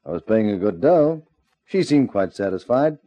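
An actor playing a terse, offhand, evasive nightclub manager in a 1950s radio crime drama. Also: male voice, fairly low pitch, big pitch swings, moderate pace, dark tone, dry recording. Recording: dull old radio drama recording.

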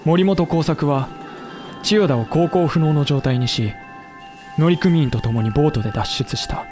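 A man narrating a historical audio drama in a solemn, deliberate, dramatic way. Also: a little high, a little mellow, soft background music, close to an everyday voice.

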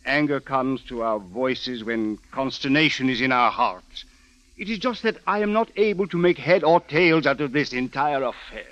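Man acting a forceful, formal police official. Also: mid-range voice, dry recording, close to an everyday voice. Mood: weary, puzzled. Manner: formal, deliberate, emphatic phrasing. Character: earnest, formal Cairo police official, protective and exasperated. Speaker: supporting actor. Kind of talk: 1940s radio acting. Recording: dry, dull old radio recording.

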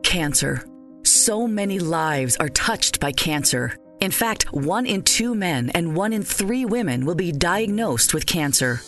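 Woman, American accent, lower pitch, earnest scripted appeal, advertisement narrator, music bed behind. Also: expressive, measured pace, a bit bright. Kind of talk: scripted public service appeal.

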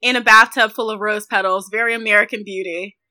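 A woman in her thirties co-hosting a podcast, casually discussing films in an expressive, effusive way. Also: mid-range voice, a little fast. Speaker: podcast co-host.

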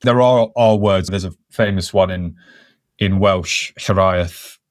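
Low-voiced reflective man. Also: slow, dry recording, clean studio sound. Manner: reflective, thinks aloud with restarts. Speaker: podcast guest, conservation worker. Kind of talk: casual conversational interview.

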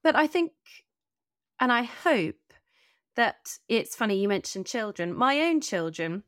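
A woman's voice: on the high side, very animated, a little slow, fairly bright tone, no room sound, studio quality. A middle-aged woman hosting a conversational podcast interview, speaking earnestly and articulately in long, considered sentences.

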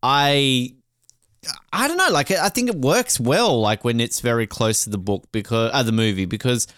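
A man's voice: mid-range voice, animated, moderate pace, a bit bright, clear, resonant tone, no echo, studio quality. An Australian man chatting casually as a podcast co-host, in a jokey, rambling, sweary way.

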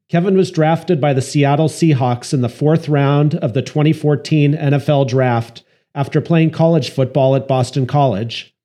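A man hosting a medical podcast, reading a formal introduction and then interviewing a guest in a measured way. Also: a little high, warm tone. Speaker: podcast host, physician.

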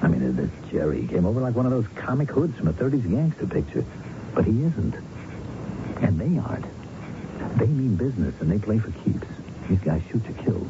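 A man's voice: lowish voice, big pitch swings, moderate pace, noisy background. An actor playing a pragmatic, nervy friend in a radio drama, insistent and stammering as he reasons. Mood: urgent, warning. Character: pragmatic male friend urging silence, nervy and persuasive. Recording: muffled vintage radio, music behind.

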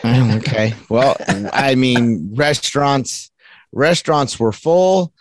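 Male co-host, mid-range voice, unhurried and plain-spoken; dry, close-mic sound. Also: medium pitch, no echo. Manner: teasing, plain-spoken, measured. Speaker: podcast co-host. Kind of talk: casual podcast chat.

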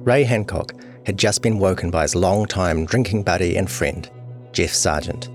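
A man's voice: deep voice, normal speed. A man reading a scripted true crime long-read as narrator. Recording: music behind voice. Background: guitar music.

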